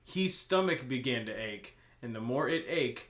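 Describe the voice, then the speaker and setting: A man's voice: medium pitch, animated, normal speed, a bit bright. A man reading a story aloud as an amateur, breaking off for casual joking asides. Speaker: co-host reading fan fiction aloud. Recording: roomy, echoing room sound.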